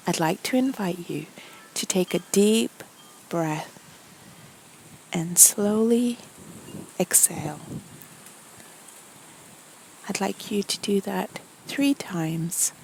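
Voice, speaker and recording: A woman narrating a guided meditation story in a soothing, deliberate way, with a soft, breathy voice that often nears a whisper and many pauses between short phrases. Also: lowish voice, slight background noise, low-quality recording.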